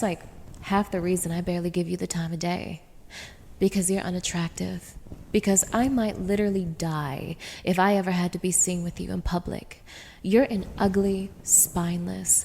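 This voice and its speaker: A woman's voice: fairly low pitch, gravelly, a little mellow, a little hiss or hum. A woman playing a cruel, haughty young dominatrix in an audio roleplay, speaking straight to the listener with taunting, commanding contempt. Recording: reverberant, echoing room.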